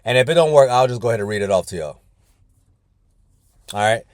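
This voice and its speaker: A man's voice: mid-range voice, expressive, fast, a little mellow, dry recording, clean studio sound. A man coaching a weight-loss group on a video call from his car, talking casually and often calling the group "y'all". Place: inside his parked car.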